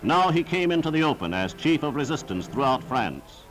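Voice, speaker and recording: A man's voice: medium pitch, big pitch swings, normal speed, background noise. A man narrating a scripted 1940s wartime newsreel in a solemn, formal, declamatory style, on a vintage film soundtrack.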